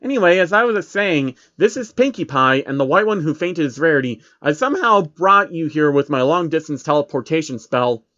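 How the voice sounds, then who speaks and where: A man's voice: fairly high pitch, lively intonation, brisk, hardly any pauses, pushed and bright, muffled recording. A man voicing a bookish, earnest and easily annoyed female unicorn pony, explaining primly in a story reading.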